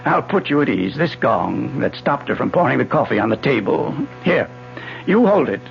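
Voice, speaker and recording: A man's voice: medium pitch, big pitch swings, moderate pace, vocal fry. An actor playing a retired older male physics professor, obsessive and insistent, in a radio drama lecturing style. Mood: persuasive. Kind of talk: 1970s radio drama acting. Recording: muffled old radio broadcast with music.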